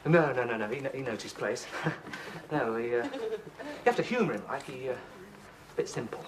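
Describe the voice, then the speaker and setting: A man's voice: mid-range voice, animated, moderate pace, lightly acted. Man playing a nervous, bluffing young student. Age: young adult. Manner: nervous, hesitant, overeager. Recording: hissy old TV audio.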